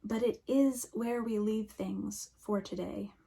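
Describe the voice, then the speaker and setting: Woman minister preaching slow and hushed, husky gravelly voice, drops near a whisper. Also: medium pitch, steady tone. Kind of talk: reflective sermon.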